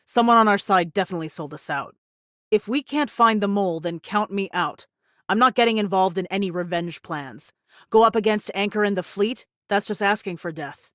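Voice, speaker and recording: A woman plays a wary pirate woman from an anime game who wants no part of risk. She is blunt and scornful, in a mid-pitched voice with a dry, close sound. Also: moderate pace, a bit bright, no echo, lightly acted. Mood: wary, cynical. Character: wary, cynical pirate woman who refuses risky ventures. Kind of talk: scripted anime game dialogue.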